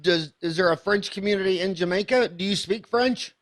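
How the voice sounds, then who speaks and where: A man's voice: high-pitched, fairly quick. A man in his fifties hosting an interview podcast, enthusiastic and rambling, with many restarts. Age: 50s. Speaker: podcast host. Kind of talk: casual interview.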